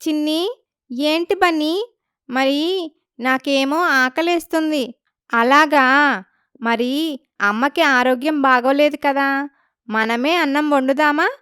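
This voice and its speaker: A woman voicing an innocent, childlike little kid in an animated moral story. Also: sits high, slow, pauses often, no room sound, clean studio sound.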